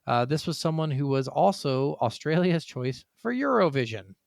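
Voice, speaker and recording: A man's voice: on the high side, expressive, moderate pace, creaky, dry recording, studio quality. A man co-hosting a podcast in casual chat, working through his notes with rambling, sometimes profane asides. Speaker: podcast co-host.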